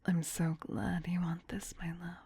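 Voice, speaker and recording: A woman playing a tender, possessive vampire queen in an ASMR roleplay, in a breathy whisper with a soothing, intimate, doting manner. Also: on the low side, monotone, measured pace, mellow, dry recording, heavily acted. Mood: loving, gently coaxing.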